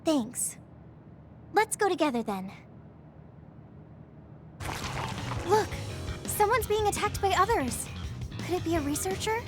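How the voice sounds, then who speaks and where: A young female soldier in a game cutscene, with a very high, girlish voice. She sounds earnest and resolute, and loud music plays behind her. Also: very animated, normal speed. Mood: eager. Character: earnest, determined young woman soldier with fire powers. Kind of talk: English video game cutscene voice acting.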